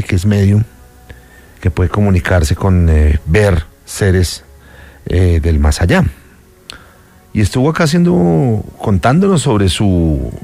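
A male radio host introduces a segment in a low voice with a gravelly creak. Faint music plays behind him. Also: warm tone.

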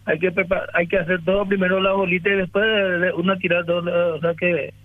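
A man from Paraguay calling a radio show, explaining a recipe in a halting way and repeating himself. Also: high-pitched, level tone. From Carapeguá, Paraguay. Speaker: radio caller. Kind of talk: explaining a recipe on a phone call. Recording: tinny phone line.

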